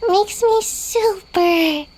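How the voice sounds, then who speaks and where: A woman's voice: extremely high pitch, drawn-out words, darkish tone, full character voice. A woman voicing a determined, slightly grumpy little witch girl in a video game, self-assured and childlike, often muttering to herself. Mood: sleepy.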